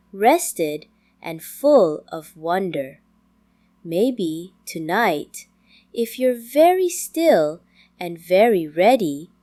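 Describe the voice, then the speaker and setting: A woman narrating a children's bedtime story in a calm, gentle manner, with frequent pauses between short phrases. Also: fairly low pitch. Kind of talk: slow, soothing scripted bedtime storytelling for toddlers.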